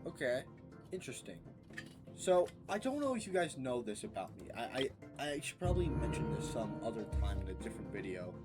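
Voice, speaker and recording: Gaming creator, male, hyped rambling commentary, loud game music behind. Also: mid-range voice, fairly quick. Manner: excitable, breaks into loud outbursts and rambles. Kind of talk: casual gameplay commentary.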